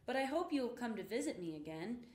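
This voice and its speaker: A woman's voice: a little high, very animated, brisk, a little mellow, pitched above the performer's own voice. A woman voicing a small gray ship's mouse, proud, helpful and a little timid, in a children's storybook. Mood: friendly. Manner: earnest, announcing proudly. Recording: roomy, echoing indoor sound.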